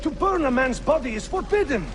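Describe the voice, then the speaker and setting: A man's voice: very high-pitched, very animated, brisk, a little hiss or hum, heavily acted. An actor playing a proud, resentful male assassin, an accusing rival who speaks with indignant, theatrical emphasis in a video game cutscene. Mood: outraged. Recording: loud music under the dialogue.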